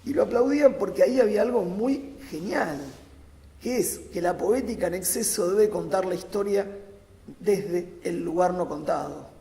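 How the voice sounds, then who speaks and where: A man giving an improvised philosophical talk on a panel, phrasing deliberately and emphatically in short bursts with pauses. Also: high voice, warm tone. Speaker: panel speaker, academic. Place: large reverberant conference room. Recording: echoey hall with long reverb.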